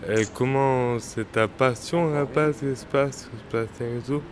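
A man's voice: medium pitch, a little slow, a little hiss or hum. A man interviewing a guide, asking questions with hesitations.

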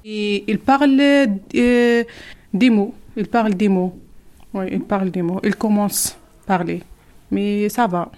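A young woman from Algeria whose first language is not French, answering interview questions slowly in simple, halting phrases with many hesitations. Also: mid-range voice, fairly even intonation, darkish tone.

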